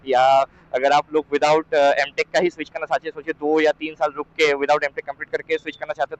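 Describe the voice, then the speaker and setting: Chatty young Indian man, higher-pitched, muffled audio. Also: dry recording. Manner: chatty, rambling, mixes in English words and phrases. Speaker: motorcycle vlogger. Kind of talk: casual riding vlog talk. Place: outdoors on a motorcycle on the road.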